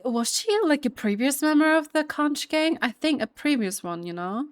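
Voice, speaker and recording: A woman's voice: sits high, animated, normal speed, dry recording. A young woman giving casual, gushing commentary while playing a game, thinking aloud and giggling. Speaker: gaming streamer. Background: faint game music.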